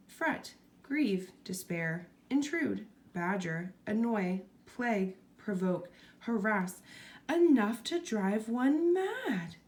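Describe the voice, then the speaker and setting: A woman reads a storybook aloud as its narrator. Her pitch moves in lively swoops, and her voice often drops into a creaky fry. The delivery is scripted and expressive.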